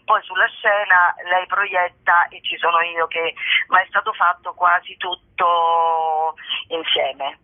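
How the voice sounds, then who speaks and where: A woman's voice: lowish voice, fairly even intonation, takes it slowly. A woman speaking casually in a phone interview as a performer, informal and playful, often thinking aloud. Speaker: performer and playwright, interview guest. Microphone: telephone call. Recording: tinny narrow-band telephone line.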